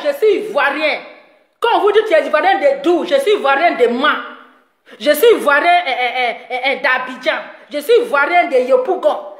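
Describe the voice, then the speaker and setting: A woman's voice: high voice, roomy sound. A woman giving a ranting commentary, mocking and scolding and laughing often as she talks. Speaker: online commentator.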